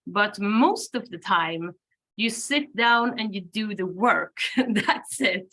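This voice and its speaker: A Swedish-native woman talks slowly about her songwriting, giving thoughtful answers full of hesitations and light laughs. Her voice is bright and forward. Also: mid-range voice. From Sweden. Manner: thoughtful, hesitant with many fillers, laughs often. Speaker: band singer and lyricist. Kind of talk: interview answers.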